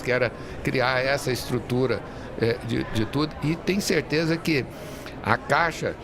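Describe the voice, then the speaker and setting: A middle-aged man, explaining things earnestly in a conversational interview, often rephrasing as he goes. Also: medium pitch. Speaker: real estate industry association leader, podcast guest. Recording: busy trade fair background noise. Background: urban fair ambience.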